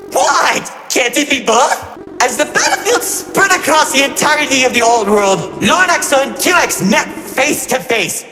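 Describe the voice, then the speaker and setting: A man's voice: very high-pitched, pushed and bright, full character voice. A man voicing a gleefully cruel trickster villain in a game, telling tales of war in a mocking, flippant, melodramatic way. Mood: awed, dramatic. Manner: mocking, flippant, melodramatic, swinging between grandeur and glee. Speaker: narrator villain. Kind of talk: theatrical storytelling narration. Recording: echoey hall reverb over music. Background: music.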